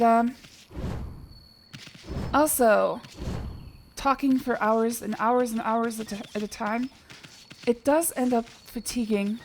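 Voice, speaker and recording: A woman's voice: fairly high pitch, slight background noise. A woman casually chatting and rambling while playing a video game as streamer. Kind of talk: casual let's-play commentary. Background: game music.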